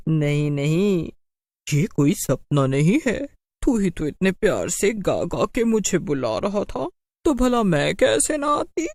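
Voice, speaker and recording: A woman's voice: mid-range voice, huge pitch swings, slow pace, long pauses, fairly bright tone, dry recording, clean studio sound, voice pushed up, heavily acted. A woman voicing a scary, mocking witch who taunts her prey in a Hindi cartoon horror comedy. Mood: menacing, gleeful. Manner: cackling, taunting.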